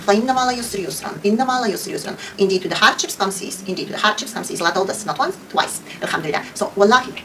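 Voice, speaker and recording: A Bulgarian woman with a Bulgarian accent, non-native English, talks very quickly and earnestly, answering questions about her faith. Her voice sits a little away from the mic. There is a faint hiss behind her. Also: mid-range voice, a bit bright, recorded from a distance, poor audio. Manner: earnest, devout, frequent Arabic religious phrases. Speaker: convert sharing her story. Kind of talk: answering viewer questions, testimony.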